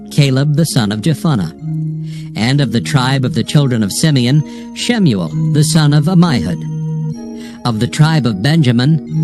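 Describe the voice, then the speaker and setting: A man narrating dramatized scripture in a solemn, declamatory manner. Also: medium pitch. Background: music.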